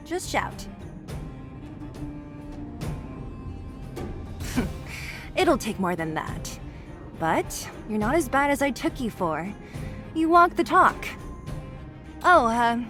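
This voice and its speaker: A brash young fighter, highish voice. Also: female voice. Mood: brash, approving. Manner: brash, casual, direct. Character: tough, blunt, spirited young female resistance fighter. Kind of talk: video game story dialogue. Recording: faint music under the voice.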